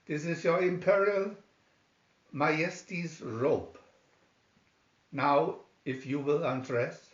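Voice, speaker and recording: A man's voice: on the high side, slow, pauses often. A man voicing a sly storybook swindler posing as a weaver, with showy, ingratiating sales patter. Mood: flattering. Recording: roomy, dull lo-fi home recording.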